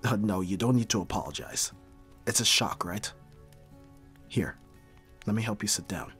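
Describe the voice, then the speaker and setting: Guy playing a brooding mafia boss in an audio drama, low voice, terse and measured, music behind him. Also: a bit bright. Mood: low, gentle. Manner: terse, profane, sighing, measured. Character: brooding young mafia boss, hard yet secretly caring. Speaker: voice actor in audio roleplay. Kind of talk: dramatic ASMR audio roleplay acting.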